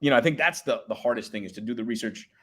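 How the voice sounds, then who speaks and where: A man in his thirties, a public address announcer with a booming voice, chatting casually in an interview. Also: rapid-fire, husky. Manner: booming voice, slips into announcer calls.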